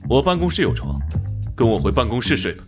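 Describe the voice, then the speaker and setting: A man voicing a stern, gruff police detective captain, curt and commanding, in an animated crime drama. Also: mid-range voice, quick pace, over music. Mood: gruff, skeptical.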